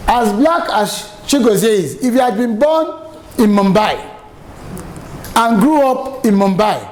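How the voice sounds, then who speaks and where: A man's voice: high voice, expressive, unhurried, a little mellow, slight background noise, poor audio. A middle-aged Nigerian man from Lagos, giving an emphatic, story-filled lesson on public speaking. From Nigeria, raised in Lagos. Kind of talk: lively spoken lecture with anecdotes.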